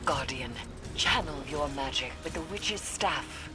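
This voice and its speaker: An actress playing a grave, stern witch in a video game, solemn and portentous, with formal measured diction. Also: sits low, animated, music in the background. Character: grave, stern witch woman steeped in dark magic. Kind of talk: scripted video game voice acting.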